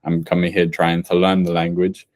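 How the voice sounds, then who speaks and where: Male podcast host, deep voice, measured and thoughtful delivery. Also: monotone, a little mellow, no echo, studio quality. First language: English. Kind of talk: casual conversation.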